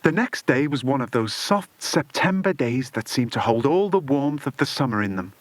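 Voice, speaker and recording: A man's voice: medium pitch, expressive, normal speed, no echo. A man narrating a children's adventure story as an audiobook, in a dramatic scripted style.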